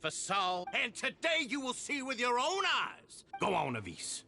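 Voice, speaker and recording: A bright, forceful, ranting tyrant villain. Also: male voice, very high-pitched, wildly swooping pitch, unhurried, creaky, exaggerated voice. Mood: cold cruelty. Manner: theatrical, menacing, contemptuous. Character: tyrannical male prison villain, arrogant, cruel and ranting. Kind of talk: dramatic video game voice acting.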